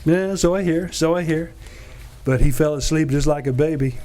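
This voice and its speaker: A man's voice: on the high side, normal speed, warm tone, a little hiss or hum. A man acting a wisecracking role in a live 1940s-style radio play on stage. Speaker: actor playing Boston Blackie in a live radio play. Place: stage of a museum theater.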